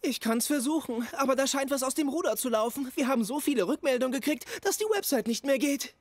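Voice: high-pitched, animated, fast talker, no echo. A performer playing a cheeky, fretful young boy in a dubbed cartoon, chatty and wry, with music behind. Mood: worried.

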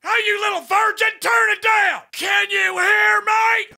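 Shrill, screaming angry sergeant. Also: male voice, level tone, unhurried, slight background noise, exaggerated voice. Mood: furious. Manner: yelling, insulting, indignant. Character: irate shrieking drill sergeant, belligerent and foul-mouthed. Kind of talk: trolling in online game voice chat.

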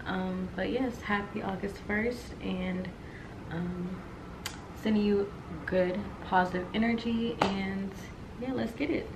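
A woman's voice: medium pitch, fairly even intonation, takes it slowly, noisy background. A young woman in her twenties vlogging casually to camera in her apartment, chatty with lots of fillers. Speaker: lifestyle vlogger. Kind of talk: casual vlog talk to camera. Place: apartment, indoors.

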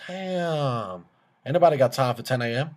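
A man's voice: mid-range voice, animated, normal speed. A man in his twenties making a joking phone call in a cheeky, swaggering, slangy way from a small room. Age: 20s. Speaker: prank caller and video host.

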